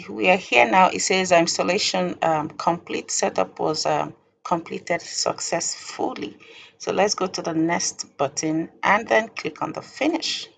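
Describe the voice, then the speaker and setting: Low-voiced woman giving a halting tutorial. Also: vocal fry, a bit bright. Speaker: software tutorial presenter. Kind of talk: informal step-by-step screen tutorial.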